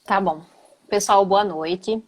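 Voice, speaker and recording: A woman's voice: medium pitch, slow. A woman in her thirties with a Gaúcho Portuguese accent, talking casually on a video call as a physical education teacher, tagging her sentences with "né". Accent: Gaúcho (southern Brazilian) Portuguese. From Northeast Brazil. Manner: conversational, many "né" tags. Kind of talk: casual live chat.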